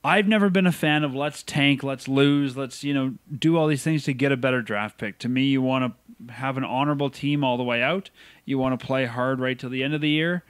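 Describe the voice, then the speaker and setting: A man co-hosting a podcast, giving opinionated, animated takes in casual sports chat. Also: medium pitch, a little fast, clean tone, dry recording. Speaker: podcast co-host.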